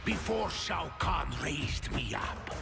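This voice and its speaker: An actor voicing a proud, scheming male warlord in a video game cutscene, with a gravelly, hissing, whispering delivery. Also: on the high side, big pitch swings, slow, loud music behind the voice, full character voice. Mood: menacing. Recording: game cutscene with loud score.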